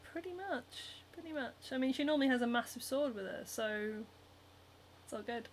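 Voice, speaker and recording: A woman's voice: high-pitched, animated, moderate pace, slight background noise. A young woman with a Northern English accent, hosting a casual livestream while she sews, reading out viewer comments and chatting with lots of giggles, ums and likes. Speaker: cosplayer and livestream host. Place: home, hand sewing while streaming.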